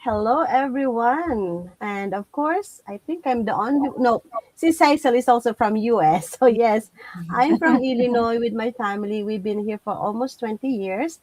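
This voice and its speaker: A woman from the Philippines greets a panel over a video call. Her voice sits a bit high and friendly. The sound is dry and close. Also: moderate pace, a little mellow, no room sound, low-quality recording. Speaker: panel guest. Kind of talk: casual introduction.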